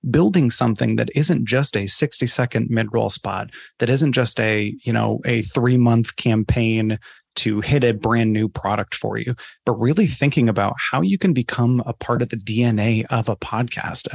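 Man, conversational interviewee, creaky and rough-edged voice, emphatic thinker-aloud, close dry sound. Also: mid-range voice, moderate pace, no echo. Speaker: podcast advertising expert being interviewed.

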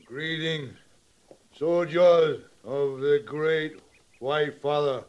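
An actor in a 1950s western radio drama playing a dignified, grieving Sioux chief who speaks solemn, halting, simplified English. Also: male voice, a little high, steady tone, clean tone. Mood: sorrowful, dignified. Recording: muffled old radio drama recording.

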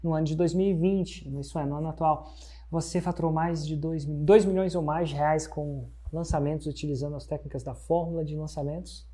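A man hosting an interview podcast, opening quickly and reacting eagerly to his guest. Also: fairly high pitch, steady tone, mellow. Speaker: podcast host.

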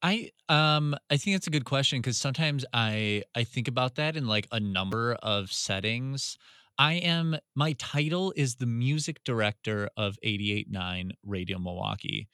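A man's voice: medium pitch, lively intonation, moderate pace, sharp tone, clear, resonant tone, dry recording, studio quality. A man, a radio music director answering interview questions in a searching way, full of restarts. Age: 30s.

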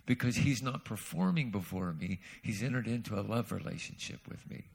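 A man speaking as a calm, quiet divine voice. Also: gravelly, slightly rough, heavily acted. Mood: gentle. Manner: quiet, low, measured. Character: calm, gentle divine male voice correcting the speaker.

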